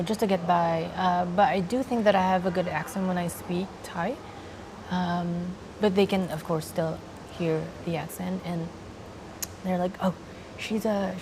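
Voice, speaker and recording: Young Filipino-accented woman, soft-spoken and hesitant, interview over clear ambient noise. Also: fairly low pitch. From Philippines. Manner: soft-spoken, hesitant with many pauses, laughs often. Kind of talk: casual interview chat.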